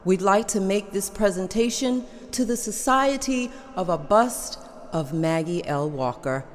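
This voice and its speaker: A woman plays a society member giving a formal address at a ceremony. She speaks at a mid pitch, and faint background noise runs under her voice. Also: lively intonation, a little slow, natural voice. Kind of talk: amateur table read acting.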